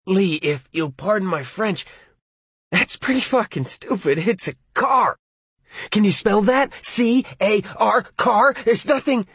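A man voicing a sullen, desperate teenage boy who talks in a strained croak. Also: a little high, very animated, measured pace, fairly bright tone, no echo, voice pushed up, heavily acted.

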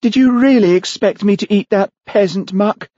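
A man voicing a haughty, seductive woman in an audiobook story, with a breathy, theatrical manner. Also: on the high side, very animated, normal speed, warm tone, no room sound, muffled recording, voice pushed up. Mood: scornful, demanding. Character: haughty seductive woman, scornful of local food.

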